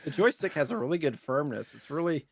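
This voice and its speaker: A man's voice: a little high, sing-song, fast talker, gravelly, no echo. A man co-hosting a podcast in casual chat, joking and playing along. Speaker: podcast co-host.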